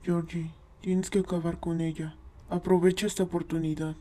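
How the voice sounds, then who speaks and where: A woman with a Latin American accent voicing a calm, enigmatic dinosaur companion in a cartoon fan dub, cool and coaxing. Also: on the low side, moderate pace, soft background music, close to an everyday voice. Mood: urging. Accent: Latin American Spanish.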